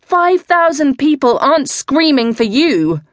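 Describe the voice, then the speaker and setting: A woman's voice: high voice, sing-song, unhurried, dry recording, clean studio sound, voice pushed up. A woman doing a sardonic male coach. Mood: needling. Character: middle-aged male cycling coach, sardonic, needling.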